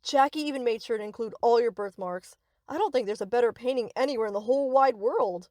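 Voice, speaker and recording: Woman doing sweet obsessed yandere girl asmr roleplay, kinda high voice, cutesy rambly and possessive, no room echo. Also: fast talker, mellow, clean studio sound, lightly acted. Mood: affectionate, rambling. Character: sweet, obsessive yandere best friend, young woman captor. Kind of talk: scripted ASMR roleplay, addressing the listener.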